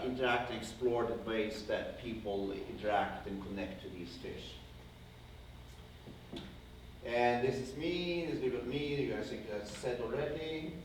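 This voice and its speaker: A man with a Norwegian accent gives an informal slide lecture to an audience, speaking hesitantly and often rephrasing himself as he rambles along. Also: mid-range voice. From Norway. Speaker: conservation project founder giving a guest lecture. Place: indoor university lecture room. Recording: very echoey room, distant mic, faint noise.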